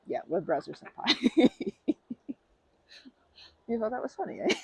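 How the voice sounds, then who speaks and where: A woman doing an ASMR roleplay as a polite stranger, talking softly with hesitant, rambling chatter and many restarts, sometimes dropping to a whisper. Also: on the high side, big pitch swings, a little slow, slightly rough, no echo. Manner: soft-spoken, drops to whispers.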